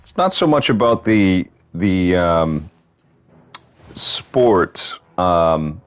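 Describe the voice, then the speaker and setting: A man with a deep voice, hosting a live gameplay stream and rambling casually with fillers. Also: sing-song, extremely slow. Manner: rambling, conversational, lots of fillers. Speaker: stream host. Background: game music.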